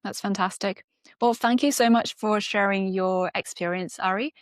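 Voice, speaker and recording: A woman's voice: mid-range voice, fairly even intonation, moderate pace, no room sound, studio quality. A woman hosting a podcast, asking curious, polite questions and giving brief prompts. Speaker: podcast host. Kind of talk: interviewer questions.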